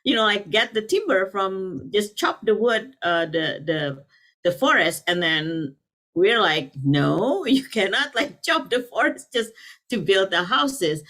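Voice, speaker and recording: A woman's voice: medium pitch, lively intonation, measured pace, fairly bright tone. A middle-aged woman from Indonesia speaking at a panel, rambling with many fillers and restarts. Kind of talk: casual conference talk.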